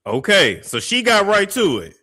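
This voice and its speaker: A man's voice: high-pitched, wildly swooping pitch, moderate pace, pushed and bright. Skeptical man doing gossipy reaction commentary. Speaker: commentary show host. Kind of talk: reaction commentary on a played clip.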